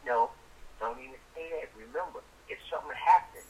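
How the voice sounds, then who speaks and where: A man's voice: medium pitch, brisk, crowd noise. An older man chatting casually over the phone, folksy and insistent, leaning on repeated catchphrases. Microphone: phone call. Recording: noisy telephone call played over speaker.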